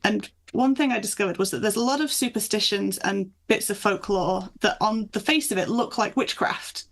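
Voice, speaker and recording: A woman's voice: medium pitch, normal speed. A woman speaking as a guest author in a conversational interview, explaining things with enthusiasm. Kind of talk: conversational interview chat about folklore.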